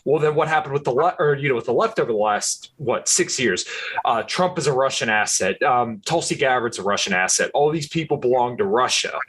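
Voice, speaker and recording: A musician from Pennsylvania with a Pittsburgh accent, ranting about politics in a casual video-call chat. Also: male voice, mid-range voice, lively intonation, brisk, a bit bright, husky. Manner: ranting, builds points with "right?". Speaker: musician guest.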